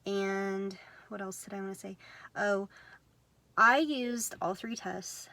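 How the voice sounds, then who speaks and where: A woman with a high, girlish, nasal voice from a stuffed-up nose, talking casually to camera with many fillers and apologies. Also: fairly bright tone. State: congested from sneezing. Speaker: vlogger. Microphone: phone.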